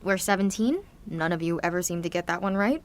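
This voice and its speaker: A woman's voice: lowish voice, lively intonation, brisk, a little hiss or hum. A woman playing a sarcastic, anxious seventeen-year-old supervillain, speaking theatrically in an audio drama monologue. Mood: irritated, sarcastic.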